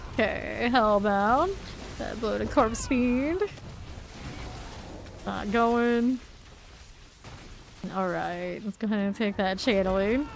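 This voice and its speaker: A woman's voice: medium pitch, slight background noise. A woman live-streaming a game, chatting casually and rambling between gameplay callouts, laughing easily. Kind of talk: casual chat while playing a game live.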